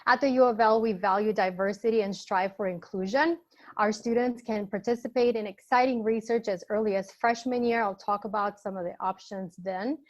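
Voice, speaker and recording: A woman's voice: medium pitch, steady tone, moderate pace, dull audio. Upbeat woman presenting on a video call. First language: Albanian. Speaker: admissions counselor. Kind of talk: webinar presentation.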